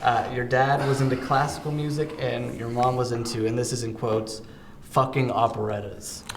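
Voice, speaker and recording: A man hosting an interview, upbeat, reading out prompts and giving quick short reactions. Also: medium pitch, steady tone, roomy sound, noisy background. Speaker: interview host. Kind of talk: casual interview.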